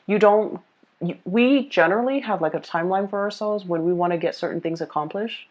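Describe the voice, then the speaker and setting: A woman tarot reader speaking casually and off the cuff to viewers, often pausing and repeating herself as she searches for words. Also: medium pitch, a little mellow, muffled recording. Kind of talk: casual, improvised tarot reading addressed to viewers.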